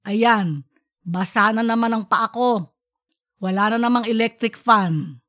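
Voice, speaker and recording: A woman's voice: on the high side, unhurried, fairly bright tone. A woman voicing an easygoing, teasing father in a colloquial audiobook story. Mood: weary, exasperated. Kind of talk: audiobook character dialogue.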